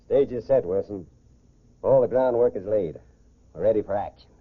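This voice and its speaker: Slick confident american con man, low voice, 1940s radio crime show, tinny old recording. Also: normal speed, slightly rough. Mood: cool, scheming. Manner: self-assured, calculating, clipped orders. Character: smooth, confident gentleman swindler posing as society golfer.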